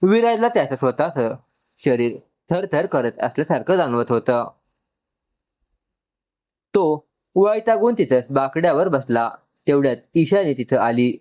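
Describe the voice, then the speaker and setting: A man's voice: medium pitch, big pitch swings, unhurried, pauses often. A man narrating a dramatic, emotional story from a script. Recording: slightly dull, lo-fi audio.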